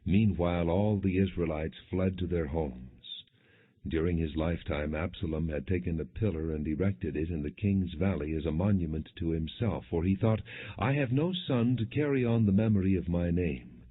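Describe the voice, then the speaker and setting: A man reading scripture as an audiobook narrator, in measured, clearly enunciated storytelling without dramatization. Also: low-pitched. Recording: muffled, phone-like lo-fi audio.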